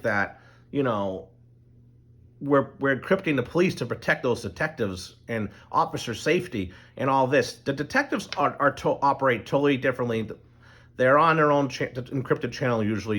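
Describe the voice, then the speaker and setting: Brief: middle-aged man, mid-pitched voice, loose unscripted monologue with frequent fillers and restarts. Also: a bit bright. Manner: rambling, loose, full of ums and restarts. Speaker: channel host. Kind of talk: casual explanatory monologue.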